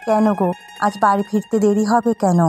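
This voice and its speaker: A woman plays a caring young housewife in a cartoon folk tale. Her medium-pitched voice coaxes and gently nags, with soft music playing behind it. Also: fairly even intonation, fairly quick, close to an everyday voice. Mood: caring, domestic. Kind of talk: Bengali cartoon story dialogue.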